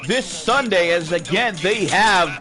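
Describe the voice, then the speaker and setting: High-voiced excitable wrestling announcer. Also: male voice, a little slow. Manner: excitable, calls action with shouting bursts. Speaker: play-by-play commentator. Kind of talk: wrestling play-by-play commentary. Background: music.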